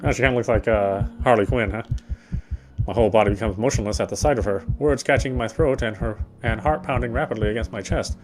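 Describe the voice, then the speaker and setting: A man streaming a game, reading its text aloud as measured, dramatic narration with occasional stumbles. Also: fairly low pitch, poor audio. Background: game music.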